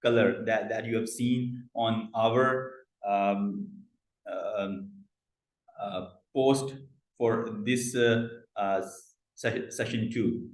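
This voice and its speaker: A man's voice: on the low side, fairly even intonation, very slow, echoey room, rough recording. A man from Pakistan hosting an online club meeting, speaking with a stammer and frequent fillers over a video call. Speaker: meeting host.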